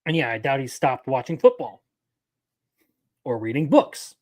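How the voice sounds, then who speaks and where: A man's voice: mid-range voice, animated, normal speed, darkish tone. A man in his twenties giving casual live-stream commentary as an anime news host, opinionated and rambling, answering his chat as he goes. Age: 20s.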